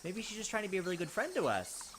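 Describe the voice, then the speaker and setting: Brief: quick-talking man reading a visual novel and joking about it. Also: high-pitched, background noise. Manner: animated, wry asides between narration. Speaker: let's play host. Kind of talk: reading game text aloud and commenting. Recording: game audio with loud cricket ambience.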